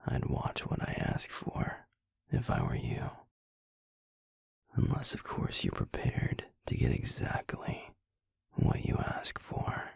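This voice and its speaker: A man playing a gruff, sardonic werewolf boyfriend in an intimate audio roleplay, half-whispering in a teasing, grudgingly fond drawl. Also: dry recording, natural voice. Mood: sardonic, warning. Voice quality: very deep, gravelly, breathy. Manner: slow teasing drawl with heavy sighs, dry sarcasm.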